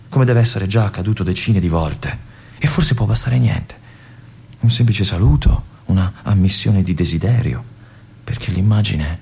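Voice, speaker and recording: An actor playing a restless young army lieutenant in a theatrical monologue, with an intense, halting delivery that moves between hushed and urgent. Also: male voice, fairly low pitch, slightly rough, exaggerated voice. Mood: pleading, intense. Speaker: stage actor performing a monologue.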